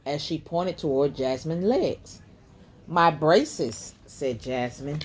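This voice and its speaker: A woman reading a children's picture book aloud as a bedtime story, in a deliberate storytelling manner. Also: sits low, warm tone, a little hiss or hum.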